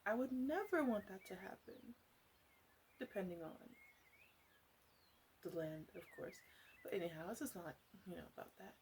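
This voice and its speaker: A woman playing a flirtatious, chatty witch of the woods in an ASMR roleplay, speaking in a soft, hesitant, playfully rambling way. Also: mid-range voice, very animated, moderate pace, long pauses, a little hiss or hum. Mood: playful, teasing. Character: flirtatious, teasing forest witch, wry and chatty.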